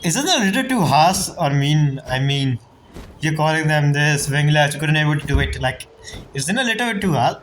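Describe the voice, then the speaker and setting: Chatty man streaming games, slightly high voice. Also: expressive, a bit bright, a little hiss or hum, poor audio. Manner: chatty, informal, halting phrasing. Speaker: game streamer, YouTuber. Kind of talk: casual let's-play commentary. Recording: game music behind the voice. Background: game music.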